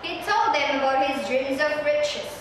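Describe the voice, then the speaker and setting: A woman's voice: high-pitched, measured pace. A young adult woman, a student narrator giving scripted storybook narration. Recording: echoey hall from far off, noisy.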